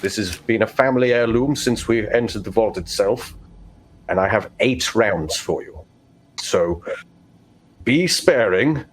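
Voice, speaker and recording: A man playing a stern, pompous vault overseer, speaking in formal, measured phrases in a tabletop roleplaying game. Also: lowish voice, expressive, husky. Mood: commanding, formal. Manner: formal, measured, stiff-upper-lip.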